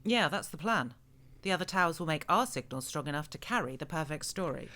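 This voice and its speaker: An actor playing a showy radio show host with a menacing edge, presenting theatrically in an audio drama. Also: male voice, on the high side, animated, brisk, bright, forceful tone. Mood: tense, controlled.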